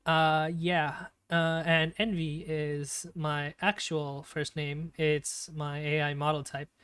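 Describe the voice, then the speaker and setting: Nervous, stammering man with a smooth voice. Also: a little high, little pitch movement, takes it slowly, a bit bright, no echo. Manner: nervous, stammering, many fillers. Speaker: streamer. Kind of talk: casual live-stream chat. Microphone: desktop streaming mic with noise gate.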